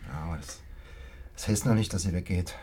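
A man's voice: low voice, brisk, warm tone, a little hiss or hum, close to an everyday voice. An actor playing a sceptical, impatient village man who talks in offhand slang. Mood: offhand, grumbling. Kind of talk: naturalistic radio drama dialogue.

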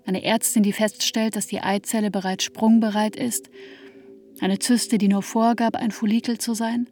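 A woman playing an introspective woman longing for a child, speaking in a measured, hushed radio drama monologue. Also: medium pitch, level tone, soft background music, lightly acted. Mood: pensive, restless. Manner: measured, hushed, addressing the listener as "you".

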